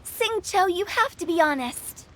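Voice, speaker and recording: A woman voicing a playful, nosy, self-assured youngster in a video game, animated and probing. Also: extremely high pitch, measured pace, noisy background. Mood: teasing, curious. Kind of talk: English video game voice acting.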